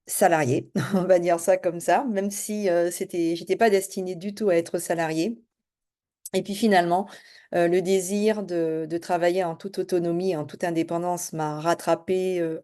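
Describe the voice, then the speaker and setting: A middle-aged woman with a low, mellow voice introduces herself to her viewers. She talks quickly and casually, stumbling over many hesitations as she goes. She is from French Guiana and works as a coach. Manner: fast-talking, hesitant with many fillers. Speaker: spiritual and business coach. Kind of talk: casual self-introduction to camera.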